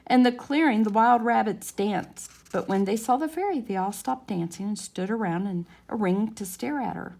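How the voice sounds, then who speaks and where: Female story time reader: mid-pitched, some vocal fry, measured and expressive children's narration.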